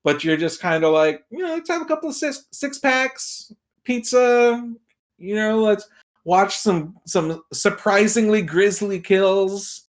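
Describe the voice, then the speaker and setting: A man's voice: sits high, lively intonation, measured pace. A man talking casually as a podcast guest, giving film opinions and acting out imagined reactions as he tells it. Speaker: podcast guest, movie critic.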